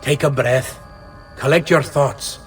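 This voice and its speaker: An actor playing an older Italian Renaissance magistrate in a video game, formal, deliberate and outwardly reassuring. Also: male voice, mid-range voice, close to an everyday voice. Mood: soothing, fatherly. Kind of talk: dramatic video game cutscene acting. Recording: loud music behind.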